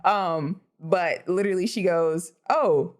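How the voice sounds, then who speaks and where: Lower-voiced woman, grateful storyteller. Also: slow pace. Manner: grateful, animated retelling with quoted dialogue. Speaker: guest gamer. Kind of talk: casual interview chat.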